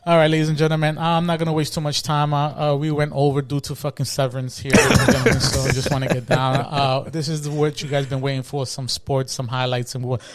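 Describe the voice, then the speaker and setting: Blunt, higher-pitched man bantering. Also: little pitch movement, quick pace, few pauses. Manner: blunt, profane. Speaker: podcast co-host and sports segment presenter. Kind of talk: casual, unscripted podcast banter.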